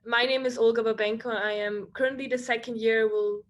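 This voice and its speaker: A woman's voice: a little high, steady tone, fairly quick. A young woman from Ukraine, a student leader, presenting eagerly over a video call with frequent restarts. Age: young adult.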